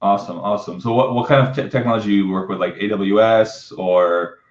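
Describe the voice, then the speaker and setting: A man's voice: fairly low pitch, fairly even intonation, brisk. A man hosting a casual live webinar interview in an upbeat, informal, chatty way. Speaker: webinar host. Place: indoor room, live online video call. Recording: roomy echo, dull lo-fi webcam sound.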